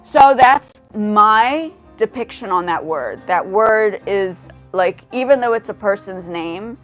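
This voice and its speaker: Host, forties woman, mid-pitched voice, loose rambling chat to viewers, faint music under her. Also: animated, slow pace. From grew up in South Africa, the United States and Japan. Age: 40s. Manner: rambling, full of restarts and asides to chat. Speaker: livestream host. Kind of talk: casual livestream chat.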